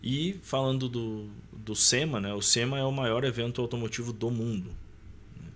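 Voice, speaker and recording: A man's voice: mid-range voice, normal speed, a bit bright, slight background noise. A man in his thirties with a Brazilian accent, telling personal stories casually as a podcast guest in a colloquial, slangy way. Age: 30s. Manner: colloquial, slangy, often seeking agreement with short tags.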